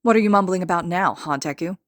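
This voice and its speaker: A woman's voice: deep voice, animated, fast talker. Woman playing a brash teenage boy bully. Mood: jeering. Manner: jeering, brash. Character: taunting teenage schoolboy bully.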